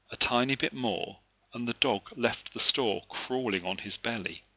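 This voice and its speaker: A man reading his children's story aloud as an author, with expressive narration. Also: fairly low pitch, no room sound, low-quality recording.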